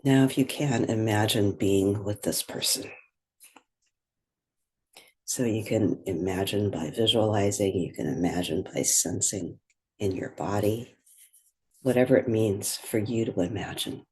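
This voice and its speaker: A woman leading a guided meditation in gentle, unhurried phrases with pauses. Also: a bass voice, breathy edge.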